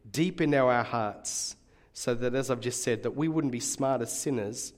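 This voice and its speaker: A middle-aged man with a slightly raspy voice giving a conversational sermon, speaking earnestly and stressing key phrases. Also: medium pitch. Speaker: guest preacher and Bible college teacher.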